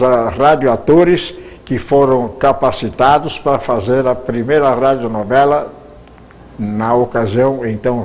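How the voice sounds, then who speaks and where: An older man, a retired radio producer, reminiscing in an interview, with halting speech full of repeated words and restarts. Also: mid-range voice, darkish tone.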